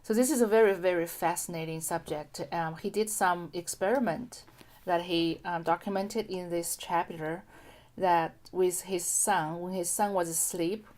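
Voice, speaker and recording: Calm lowish-voiced woman hypnotherapist. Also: unhurried. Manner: calm, gentle, with frequent ums and restarts. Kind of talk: casual explanatory talk to camera.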